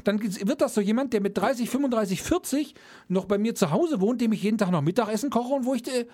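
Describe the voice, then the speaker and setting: This is a man with a high, husky voice who talks casually and bluntly. He rants about how things should be, in plain everyday words. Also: animated, fast talker, dark tone. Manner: blunt, ranting, colloquial. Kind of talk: casual chat.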